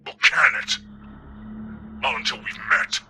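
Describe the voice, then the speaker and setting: A man voicing a stern, gruff gang boss in a tabletop game, in a breathy, rough growl, curt and prone to swearing. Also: medium pitch, animated, a little slow, very noisy, natural voice. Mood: stern, angry. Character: seething gruff male gang boss in a gas mask. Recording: background music under the voice.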